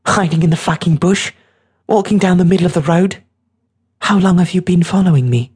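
A narrator plays a streetwise teenage girl in an audiobook story, pitched up from the narration and very husky. She is scornful and bossy, mocking whoever she talks to with brash toughness. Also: a little fast, a little mellow. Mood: scornful, threatening.

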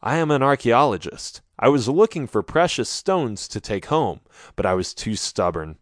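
A young male archaeologist, polite and a little hesitant, in an everyday voice with a lively rise and fall, read by a man telling a story. The sound is dry and close. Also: medium pitch, normal speed, dry recording, natural voice. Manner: plain, polite, a bit hesitant.

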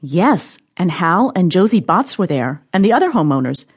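An actress playing an earnest, inquisitive amateur sleuth in an audio drama, matter-of-fact and questioning, with a husky voice. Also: female voice, fairly low pitch, very animated, normal speed. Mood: curious.

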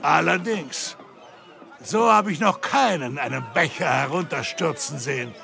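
A creaky, grumbling tavern hangman. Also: fairly high pitch, measured pace, pushed and bright, exaggerated voice. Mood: amazed. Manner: sceptical, grumbling, mocking. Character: Rosenfeld, grudging hangman and tavern drinking companion. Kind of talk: German audio drama acting. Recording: busy tavern crowd behind.

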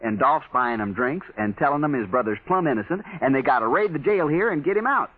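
Worried old west townsman, american, very husky, pitch jumps around, tinny radio recording. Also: expressive, a little fast. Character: earnest, anxious townsman, reluctant informant. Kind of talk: 1950s radio western acting.

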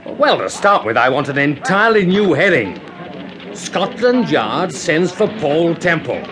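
An actor playing an irascible newspaper editor barking orders down the phone in a vintage radio drama. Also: male voice, fairly high pitch. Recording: noisy old radio drama recording.

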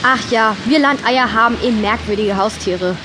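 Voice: mid-range voice, normal speed, noisy background, lightly acted. A performer playing a cheeky, sarcastic country girl in a children's audio drama, with a wry delivery. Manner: wry, mock-formal at times.

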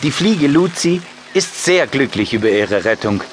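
Male children's story narrator, medium pitch. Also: sing-song, unhurried, noisy background. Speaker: audiobook narrator.

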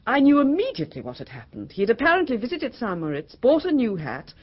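An anxious, well-bred English wife in a vintage radio drama. She is earnest and insistent, at times hesitant, with a highly animated intonation. The sound is dull and dated. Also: quick pace.

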